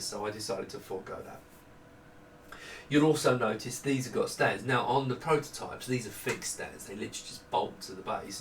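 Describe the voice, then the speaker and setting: Middle-aged man, casual product demo, halting explanations, echoey room, off-mic, faint noise. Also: fairly low pitch, fairly bright tone, recorded from a distance. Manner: halting, thinking aloud, explanatory. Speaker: maker presenting a product prototype. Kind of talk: casual unscripted product walkthrough. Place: small home office room.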